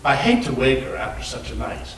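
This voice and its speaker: A courteous doctor, played by a man on stage speaks with composure at a medium pitch. He sounds distant from the mic in a very echoey room. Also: sing-song, a little fast, a little hiss or hum, natural voice. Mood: polite, concerned. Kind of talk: readers theater acting and narration.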